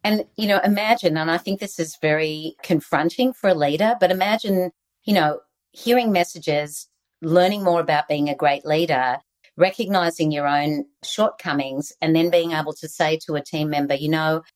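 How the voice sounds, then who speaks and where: An Australian woman, a guest author on leadership, giving earnest, animated interview answers over a video call. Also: deep voice, normal speed.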